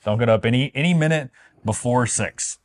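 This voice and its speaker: A man's voice: mid-range voice, brisk. A man co-hosting a travel vlog, chatting casually and giving opinions in a playful, wry way with mock-grand emphasis. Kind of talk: casual opinionated review chat.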